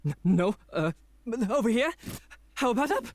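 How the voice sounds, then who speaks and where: An actor playing a pompous, condescending self-styled scholar of golems in a video game, speaking theatrically. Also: male voice, extremely high pitch, very animated, moderate pace, a little hiss or hum, exaggerated voice. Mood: flustered demonstrating. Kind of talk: video game character acting.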